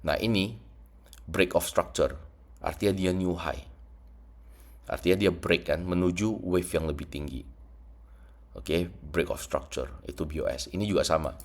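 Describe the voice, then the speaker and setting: A man's voice: sits low, measured pace, long pauses. A man with a congested, stuffy nose, explaining trading charts in a casual screen-share tutorial and mixing English terms into his talk. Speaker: trading teacher.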